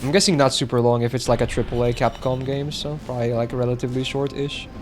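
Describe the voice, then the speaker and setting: A man's voice: mid-range voice, fairly even intonation, fast, soft background music. A man streaming a game, chatting casually with his viewers and swearing now and then. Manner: chatty, swears, reads chat aloud. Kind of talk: casual gameplay commentary.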